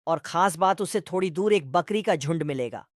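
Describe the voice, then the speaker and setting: A woman's voice: on the low side, animated, normal speed, dry recording, studio quality, close to an everyday voice. A woman voicing a benevolent, commanding god in a cartoon, solemnly giving instructions. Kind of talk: cartoon voice acting.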